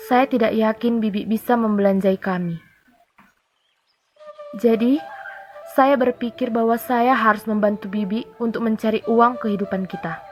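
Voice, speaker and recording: A woman's voice: fairly high pitch, lightly acted. A woman voicing an earnest, dutiful older brother in a folktale, respectful and pleading in manner. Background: music behind the voice.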